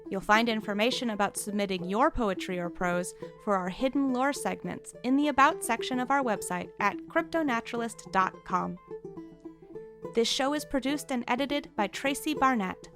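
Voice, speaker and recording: A woman's voice: on the high side, animated, moderate pace. A woman reading scripted podcast credits as an announcer. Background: plucked string music.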